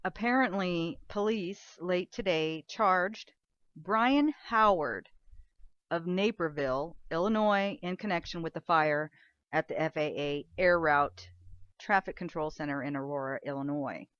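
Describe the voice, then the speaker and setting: A woman's voice: lowish voice, a little slow, fairly bright tone, no echo. A woman presenting the news, reading reports aloud in a somewhat informal way. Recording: dry, dull lo-fi recording.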